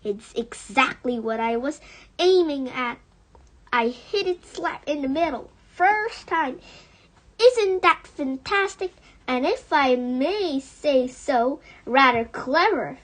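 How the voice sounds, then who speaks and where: A woman's voice: high voice, expressive, slow. A woman voicing a bossy, proud and jubilant father fox in a storybook, exclaiming and giving commands. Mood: proud, delighted. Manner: exclamatory, commanding, self-congratulating.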